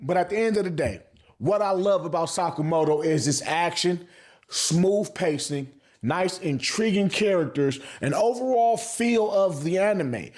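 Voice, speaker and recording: Guy with a pretty high voice doing a casual anime review vlog, lots of slang and cussing, really emphatic. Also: expressive, a little slow, darkish tone. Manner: slangy, emphatic, swears often, repeats phrases for emphasis. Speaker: anime reviewer and channel host. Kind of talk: casual opinionated vlog commentary.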